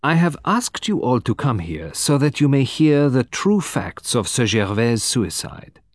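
A man playing a composed, formal detective from abroad, speaking deliberately with dramatic emphasis in an audiobook. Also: medium pitch, big pitch swings, dry recording, studio quality, voice pushed up. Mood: formal, grave. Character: composed, precise foreign male detective, quietly theatrical.

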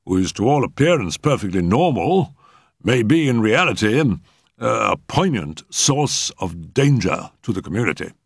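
A man voicing a pompous, genial eminent male doctor who lectures in a measured, emphatic way in a storybook reading. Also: on the low side, very animated, vocal fry, husky, dry recording, studio quality, pitched above the performer's own voice, heavily acted. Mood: self-satisfied, expansive.

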